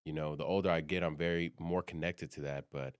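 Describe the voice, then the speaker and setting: A young man with an extremely deep voice chats easily as a podcast guest. His talk is loose and friendly, peppered with "bro" and "you know what I mean". The sound is close and dry, with little room around it. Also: fairly even intonation, fairly quick, clean tone, dry recording. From South Sudan. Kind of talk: casual podcast chat.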